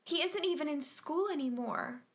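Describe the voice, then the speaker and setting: A woman's voice: high voice, normal speed. A woman playing an earnest, timid but persistent young client, speaking plainly and probingly in a naturalistic audio play. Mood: insistent, earnest. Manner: plain, probing short questions and statements.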